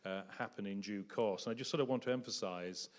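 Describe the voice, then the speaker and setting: A man giving a presentation at a public meeting in a hall, measured and hesitant with frequent ums and uhs. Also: on the low side. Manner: measured, hesitant with many fillers.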